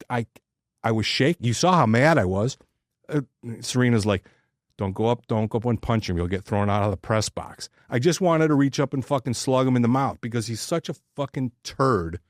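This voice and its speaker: An American man co-hosts a sports podcast in a lowish voice. He talks casually but bluntly, ranting with plenty of profanity and strong opinions. The sound is dry, with very little room to it. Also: no echo. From Detroit, United States.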